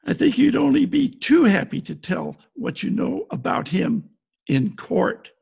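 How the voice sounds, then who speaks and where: An actor playing a blunt, wry private detective in an old-time radio play, with clipped noir delivery. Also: male voice, extremely high pitch, big pitch swings, unhurried, creaky, darkish tone, breathy edge, full character voice. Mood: probing. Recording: home video-call recording.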